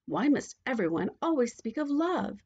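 A woman's voice: a little high, sing-song, normal speed, darkish tone, slightly rough, highs cut off, pitched above the performer's own voice, full character voice. A woman voicing a proud, petulant young storybook princess who speaks in a demanding, indignant way. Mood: haughty, petulant.

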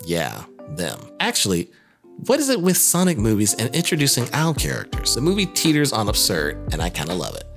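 A man's voice: lowish voice, wildly swooping pitch, brisk, creaky, a bit bright. A man narrating a scripted video commentary as host, with casual, jokey asides and a wry manner. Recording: music under the voice. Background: background music.

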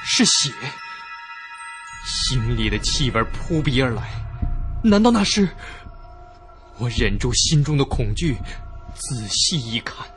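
Animated male horror storyteller acted by a man. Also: medium pitch, slow, long pauses. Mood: horrified. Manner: suspenseful, theatrical storytelling. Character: frightened young male traveller telling his story. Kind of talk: dramatic first-person horror narration, radio drama. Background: loud background music.

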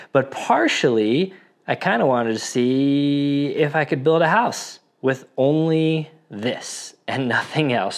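A man with an American accent giving a casual, informal gear walkthrough as a vlogger. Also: fairly low pitch. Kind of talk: casual product walkthrough vlog. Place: inside and on top of a metal shipping container.

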